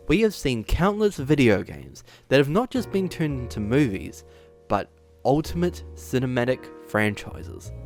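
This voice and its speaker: A man hosting a scripted but casual, opinionated video review, with sarcastic, exaggerated emphasis and drawn-out words. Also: mid-range voice. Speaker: video essay host and reviewer.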